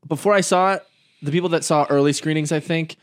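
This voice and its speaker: A man's voice: on the high side, fast. A man co-hosting a podcast, chatting casually, swearing often and teasing his friends. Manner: crude, swears a lot, playful boasting. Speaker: podcast co-host. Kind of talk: casual banter.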